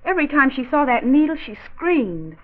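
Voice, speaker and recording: A woman's voice: sits high, sing-song, a little fast, narrow, tinny audio. An actress playing an anxious, guilt-ridden young mother in a 1940s radio drama, speaking in a flustered, fretful way. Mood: sheepish. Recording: band-limited old radio recording.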